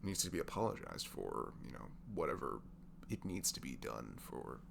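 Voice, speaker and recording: A young man softly leaving an intimate, improvised comfort message in ASMR style, tender and reassuring, murmuring and often slipping into a breathy whisper. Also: very deep voice, big pitch swings, normal speed. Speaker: amateur audio performer playing a comforting friend. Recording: clearly noisy home recording with hum.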